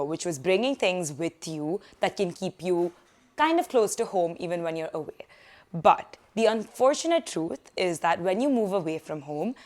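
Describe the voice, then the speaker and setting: A young woman vlogging casually from a living room sofa, giving student tips in a chatty, animated way. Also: mid-range voice. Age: young adult. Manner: chatty, animated hand gestures, quick asides. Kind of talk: casual advice vlog.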